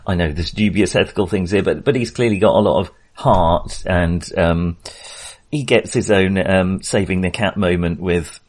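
A man with a fairly low voice chats casually as a film podcast co-host. He rambles in halting phrases and often reworks his sentences midway. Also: a little mellow.

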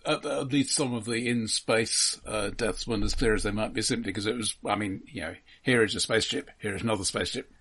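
A man co-hosting a podcast, casually critiquing films in an analytical, halting way with dry humour. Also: fairly low pitch, fairly bright tone, breathy edge. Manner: analytical, halting, dryly humorous. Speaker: podcast co-host.

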